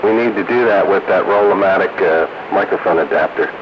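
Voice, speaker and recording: A man's voice: low voice, a little fast. A man chatting casually as a hobbyist over a CB radio transmission. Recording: muffled radio with clear hiss.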